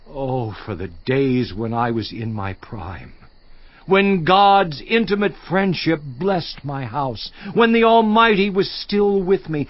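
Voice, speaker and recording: An actor playing a suffering, once-honored biblical patriarch in a dramatized scripture monologue, theatrical and declamatory with long pauses. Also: male voice, on the high side, lo-fi sound. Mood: wistful longing. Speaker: actor in a dramatized audio Bible.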